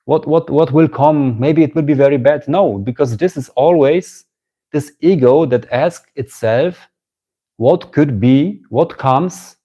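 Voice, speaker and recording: A man with a German accent giving a live-streamed spiritual talk, musing slowly, repeating phrases and greeting viewers as they join. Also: fairly high pitch, warm tone, highs cut off. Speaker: spiritual teacher.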